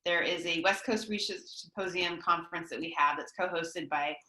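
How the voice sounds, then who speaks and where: Woman host for a video-call panel: lowish, bright, chatty, filler-heavy delivery in a roomy space. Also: moderate pace. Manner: chatty, frequent ums, warm praise. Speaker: interview moderator. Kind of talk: online panel introduction and interview. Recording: echoey room.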